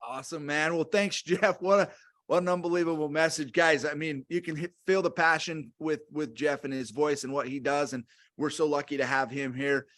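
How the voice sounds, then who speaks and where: An upbeat male host hands the floor between speakers on a company video call. His voice sits a little high for a man. The sound is dry and close, with no room around it. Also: steady tone, moderate pace, a bit bright, no echo. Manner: upbeat, effusive. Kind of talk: hosting and introducing speakers.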